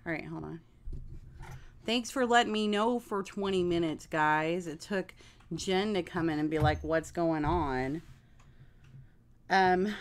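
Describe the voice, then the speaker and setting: A woman in her forties with a nasal voice, hosting a casual live sewing stream from her home craft room on a desk mic. She chats in a rambling way and talks straight to her viewers. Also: on the low side. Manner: chatty, addresses viewers directly, rambling. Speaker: craft livestream host.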